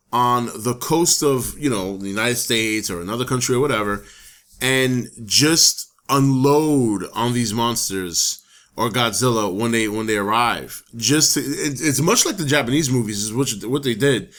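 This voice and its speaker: A hoarse man talks casually to his viewers as host, his voice sitting at an ordinary middle pitch. He thinks out loud and circles back on his points. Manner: rambling, thinking aloud with restarts. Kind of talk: casual solo talk to camera.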